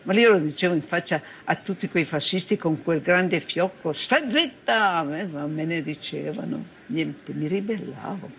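A woman's voice: fairly low pitch, normal speed, creaky, few pauses, fairly bright tone. An older woman from Italy recalling her working life in a plain-spoken, emphatic way, as oral history. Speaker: former factory worker giving testimony. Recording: faint background noise.

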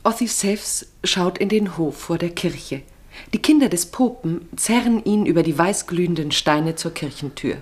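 Low-voiced woman narrating a radio play. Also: lively intonation, moderate pace, breathy edge. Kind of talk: literary radio play narration, present tense.

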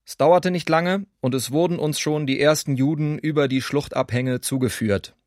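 An actor voicing a perpetrator giving witness testimony in a documentary radio drama, detached, precise and factual. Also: male voice, a little high, moderate pace, a little mellow, no echo, clean studio sound, close to an everyday voice. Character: matter-of-fact German man, perpetrator giving witness testimony.

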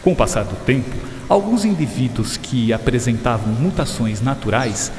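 A man's voice: mid-range voice, expressive, normal speed, darkish tone, slight background noise, lightly acted. Man acting as Charles Darwin, earnest naturalist in echoey hall. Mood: earnest, explanatory. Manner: explanatory, peppered with English interjections. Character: Charles Darwin, learned English gentleman naturalist, patient and earnest. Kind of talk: educational radio drama acting. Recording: reverberant hall sound with music underneath.

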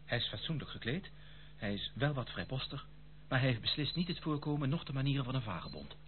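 Courteous gentleman on tinny old radio , male actor. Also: mid-range voice, animated, lightly acted. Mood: polite, concerned. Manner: polite, measured. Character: courteous, deferential gentleman cousin, calm and loyal. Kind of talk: radio play acting. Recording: tinny, phone-like old radio play, slight hum.

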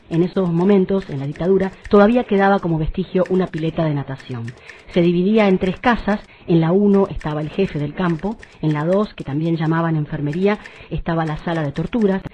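A woman's voice: on the low side, highs cut off, a little hiss or hum. A woman presenting an explanatory report in a didactic way, posing questions and answering them. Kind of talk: explanatory report on a human rights case.

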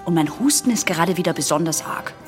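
A woman's voice: lowish voice, animated, quick pace, soft background music, natural voice. An actress playing an anxious teenage girl patient in a German radio play, hesitant, secretive and intense. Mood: confiding.

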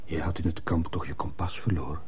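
A man playing a troubled schoolteacher in a radio drama, speaking with restraint and quiet insistence. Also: very deep voice, fairly even intonation, a little fast, slight background noise. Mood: probing, insistent. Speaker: actor in a radio play. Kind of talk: Dutch-language radio drama acting.

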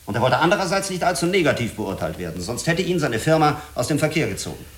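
An actor playing a stern, self-critical spaceship commander in a German radio drama, speaking firmly and explaining in measured phrases. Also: male voice, on the high side, very animated. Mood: reflective, insistent.

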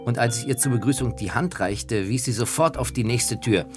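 A man's voice: mid-range voice, moderate pace. A man narrating personal reminiscences as author of a radio feature. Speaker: author and narrator, former magazine editor-in-chief. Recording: music behind the voice. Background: music.

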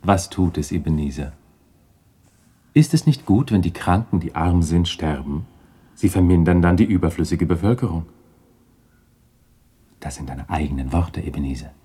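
Deep, husky, solemn Christmas spirit. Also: male voice, expressive, warm tone. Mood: stern, reproachful. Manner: measured, solemn pronouncements. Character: solemn male Christmas spirit guiding a sinner, grave and stern. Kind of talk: 1960s German radio play acting.

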